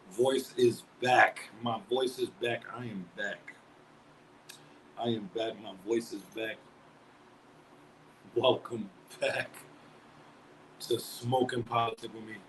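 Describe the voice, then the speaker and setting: A man's voice: medium pitch, fairly even intonation, slow pace, pauses often, slight background noise, low-quality recording. A man hosting a live-stream talk show in casual, slangy chat, greeting viewers by name and then speaking earnestly at length. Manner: slangy and conversational, reads out viewer shout-outs.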